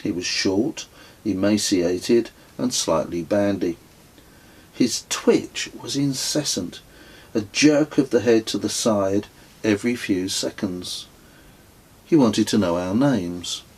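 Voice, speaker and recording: A man reading his own memoir as an audiobook, telling it in a measured, wry storytelling way. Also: fairly low pitch, husky, rough recording. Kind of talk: scripted audiobook narration.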